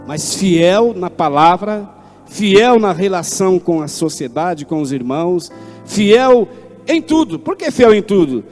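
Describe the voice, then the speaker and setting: A man, preaching a sermon with fervent swings between loud declaiming and low asides. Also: sing-song, slow. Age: 60s. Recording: long hall reverb, faint instrument music behind.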